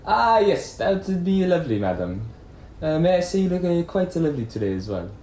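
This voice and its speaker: A man playing a flirtatious, dramatic gentleman in an amateur puppet show, speaking in a halting, flowery manner. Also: fairly high pitch, sing-song. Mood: suave, jovial. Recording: echoey room with faint noise.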